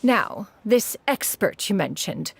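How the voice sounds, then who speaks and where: A woman's voice: medium pitch, sing-song, takes it slowly, gravelly, breathy edge, dry recording, exaggerated voice. A woman playing a proud, ancient immortal posing as a refined lady in a video game, speaking formally and pompously in archaic wording. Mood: composed.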